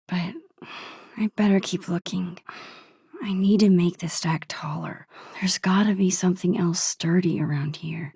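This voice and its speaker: Breathy, hushed young girl adventurer. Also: on the low side, dry recording, studio quality, full character voice. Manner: musing to herself, understated, dryly playful. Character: soft-spoken, wistful, nerdy young girl adventurer. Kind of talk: video game character monologue.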